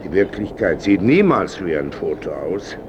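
An old man with a low, very animated voice, proud and cantankerous. He lectures and grumbles like someone used to being obeyed, in a radio play with clear noise behind him. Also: normal speed, highs cut off. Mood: musing, lecturing. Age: older. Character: imperious, cantankerous old male painter, blind and proud. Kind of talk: radio drama acting.

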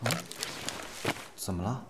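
A man's voice: takes it slowly, reverberant, background noise, close to an everyday voice. An actor playing a polite, bashful young male actor in a Chinese audio drama. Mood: calm, attentive.

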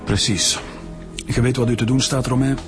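An actor playing a methodical, authoritative police director in a radio drama, speaking. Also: male voice, medium pitch, fairly even intonation, normal speed, loud music behind the voice, natural voice.

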